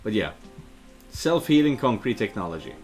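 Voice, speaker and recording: A low-voiced man plays a game and comments casually, rambling as he thinks aloud. He reads on-screen text in a loose, hesitant way, with music playing behind him. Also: big pitch swings, normal speed. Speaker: video game let's player and dubber.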